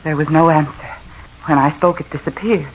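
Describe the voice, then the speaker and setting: An actress playing a nervous, haunted wife, telling of her ghostly terror in a halting, confessional way, in old-time radio horror style. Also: deep voice, steady tone, husky. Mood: haunted, hushed. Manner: dramatic, halting, confessional first-person narration. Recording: muffled, noisy old radio recording.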